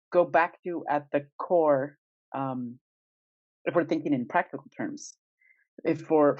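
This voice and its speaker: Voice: mid-range voice, a little mellow, dry recording. A podcast co-host in casual conversation who thinks aloud in rambling questions with light chuckles. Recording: slightly dull, dry sound.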